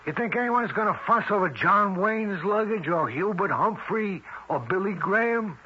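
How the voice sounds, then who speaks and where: An actor playing a cocky, scheming crook in a radio drama, with rough, slangy, persuasive talk. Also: male voice, high-pitched, expressive, moderate pace, slight background noise. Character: scheming tough crook, cocky, desperate for a fortune. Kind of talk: 1970s radio drama acting. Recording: dull vintage radio recording.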